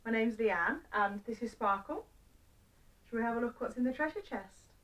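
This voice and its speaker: A woman with a fairly high voice narrates a children's story. She uses simple phrases and deliberate pauses, recorded slightly away from the microphone. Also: lively intonation, fairly bright tone, distant mic, poor audio.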